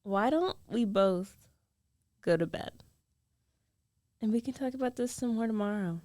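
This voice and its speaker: A woman playing a jealous, lovestruck young female roommate in an intimate ASMR roleplay, guarded yet tender, speaking with hesitant, emotional pauses. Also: fairly high pitch, a little mellow, no room sound, clean studio sound, natural voice. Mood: tired, soothing.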